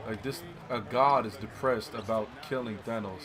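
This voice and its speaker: A man's voice: lowish voice, normal speed. A man chatting casually about a film, emphatic and slangy with frequent swearing. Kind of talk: casual chat about a film while gaming. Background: crowd noise and basketball bouncing from a game.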